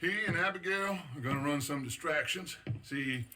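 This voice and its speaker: An actor playing a scheming middle-aged outlaw gang leader in a game cutscene, with a gravelly voice and a measured, theatrical, persuasive delivery. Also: male voice, a little high, bright, forceful tone. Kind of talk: video game cutscene acting.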